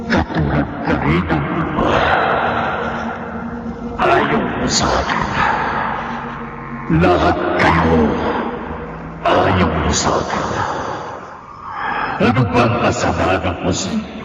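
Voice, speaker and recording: A man's voice: sits low, very animated, slow, creaky, long pauses, heavily acted. A man playing a sinister, aggrieved spirit that lures a child in a horror radio drama. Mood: aggrieved, wounded. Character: sinister malevolent spirit with a big voice, luring a child. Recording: muffled, very noisy, cavernous reverb.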